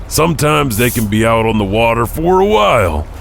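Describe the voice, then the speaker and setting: Man playing a gravelly, folksy janitor host. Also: mid-range voice, very animated, moderate pace, a little hiss or hum. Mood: chatty, jovial. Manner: joking, rambling, hesitant. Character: folksy, goofy male janitor telling strange tales. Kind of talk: in-character podcast monologue.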